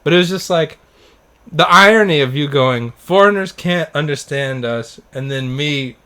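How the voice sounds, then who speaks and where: A man's voice: on the high side, moderate pace, sharp tone, clean tone. A man co-hosting a podcast in casual, informal chat, telling anecdotes and acting out what people said. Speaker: podcast co-host.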